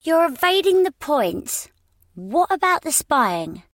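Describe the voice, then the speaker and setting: A man doing a high, insistent young man. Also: sing-song, takes it slowly, a bit bright, dry recording, clean studio sound, exaggerated voice. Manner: pressing, indignant questions.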